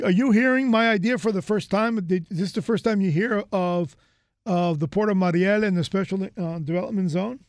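A man's voice: sits high, a little mellow, clear, resonant tone, no echo, clean studio sound. A man from the United States hosting an interview in a rambling, chatty way, full of fillers and restarts. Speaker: host. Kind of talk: casual interview chat.

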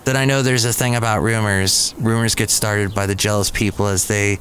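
A man's voice: fairly low pitch, steady tone, fairly quick, a bit bright, a little hiss or hum. A middle-aged man hosting a live podcast, telling digressive stories and correcting himself as he goes. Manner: digressive, self-correcting storyteller, chuckles at himself. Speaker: podcast host. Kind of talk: casual rambling monologue. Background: faint café ambience.

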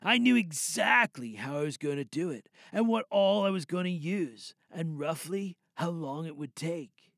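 A man playing a calm, creepy surfer-dude serial killer in an unhurried, intimate audio drama monologue. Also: a little high, creaky, no room sound, studio quality, full character voice. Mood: calm, menacing.

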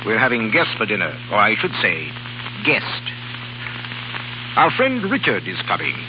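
A man's voice: fairly low pitch, huge pitch swings, unhurried. A man playing a jealous, domineering, ailing older baron in a 1930s radio drama, speaking in clipped, imperious, sarcastic phrases. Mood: cold, sarcastic. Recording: noisy, hissing, dull 1930s radio recording.

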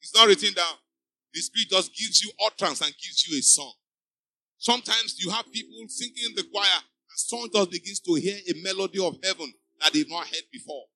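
High, bright pastor preaching. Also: male voice, brisk, low-quality recording. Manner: exhorting, emphatic preaching with repeated refrains. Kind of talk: sermon. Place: church before a live congregation.

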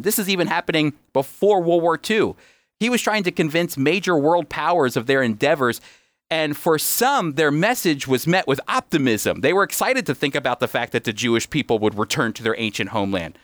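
A man's voice: fairly high pitch, dry recording. A man presenting an explanatory lesson, speaking emphatically and directly to his listeners. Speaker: presenter giving a history lesson. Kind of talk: explanatory teaching talk, semi-scripted.

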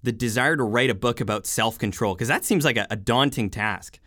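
A man from western Canada hosting a podcast interview, casual and upbeat with quick affirmations. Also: medium pitch, fairly bright tone, no room sound, studio quality. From Alberta, Canada. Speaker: podcast host.

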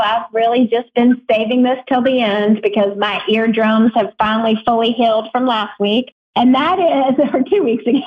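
A woman co-hosting a radio show, bubbly and playful, laughing often as she introduces the episode. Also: fairly high pitch. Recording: dull lo-fi audio.

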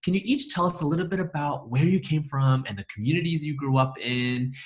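A man's voice: a little high, brisk, no echo. A man hosting a podcast, reading an upbeat scripted intro and asking interview questions. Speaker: podcast host.

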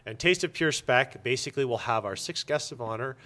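A man's voice: medium pitch, expressive, brisk, bright, forceful tone. A man chatting casually as an interview guest and organizer, with a blunt, sarcastic, joking manner. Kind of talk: casual chat.

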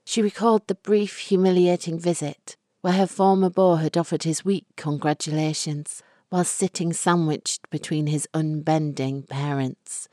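A woman narrating a dramatic short story with deliberate, expressive phrasing. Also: low voice, no echo, studio quality. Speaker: audiobook narrator.

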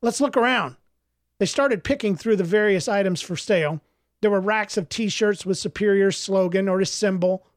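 A male author with a fairly high voice, speaking as a storyteller about and from his own work. The recording is very dry, with no room sound. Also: normal speed, no room sound. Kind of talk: audiobook narration and reflective interview answers.

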